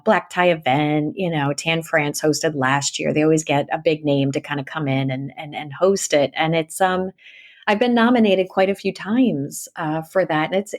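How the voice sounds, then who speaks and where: Middle-aged woman narrator chatting on a podcast mic, lowish voice, lively storyteller. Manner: animated storyteller, lively swings, laughs often. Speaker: guest, audiobook narrator. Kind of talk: casual interview chat.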